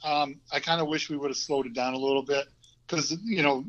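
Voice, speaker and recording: A man in his fifties with a Vermont accent, chatting easily as a podcast guest and often pausing with ums and restarts. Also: on the high side. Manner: relaxed, hesitant, many ums and restarts. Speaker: guest, former hockey player. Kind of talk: casual interview chat. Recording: dull lo-fi audio.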